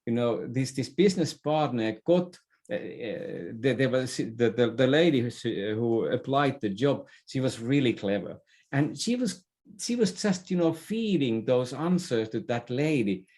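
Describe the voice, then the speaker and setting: A man's voice: medium pitch, expressive, unhurried, darkish tone. A man speaking as an expert guest in a podcast interview, explaining in a conversational way and repeating words as he thinks.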